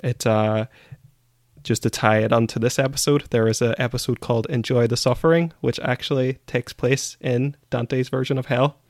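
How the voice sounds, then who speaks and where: A man's voice: medium pitch, fairly even intonation, moderate pace, darkish tone, no room sound, studio quality. A man co-hosting a podcast, giving his opinions and recommendations in casual chat. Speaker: podcast co-host.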